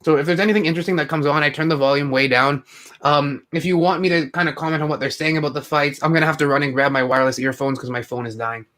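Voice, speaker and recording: A man's voice: fairly high pitch, fairly even intonation, fast, fairly bright tone. Chatty young man with a raised voice. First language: English. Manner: rambling, chatty, many fillers and restarts. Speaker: live stream host. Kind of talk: casual live commentary chat.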